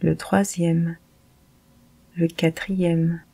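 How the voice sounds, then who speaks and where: A woman guiding a yoga nidra meditation in a calm, measured, soothing manner. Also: fairly low pitch, vocal fry, slightly rough. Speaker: meditation guide.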